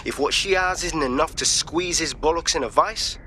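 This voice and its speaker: Street hacker, slangy, bright voice. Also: male voice, fairly high pitch, normal speed, a little hiss or hum. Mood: blunt. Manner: blunt slangy talk. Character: streetwise male London hacker leader.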